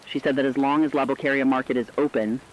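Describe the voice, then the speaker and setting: A man playing a solemn, compassionate radio broadcaster, delivering a scripted monologue earnestly and deliberately. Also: medium pitch, level tone, muffled recording, slight background noise, close to an everyday voice. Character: solemn, compassionate male broadcaster chronicling a collapsing world.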